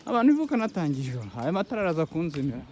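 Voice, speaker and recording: Halting Rwandan-accented man, raised pitch. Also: very animated, darkish tone, a little hiss or hum. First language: Kinyarwanda. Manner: halting, with many restarts and hesitations, pleading. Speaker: YouTube content creator. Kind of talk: casual vlog talk to viewers. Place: outdoors by a road with passing buses.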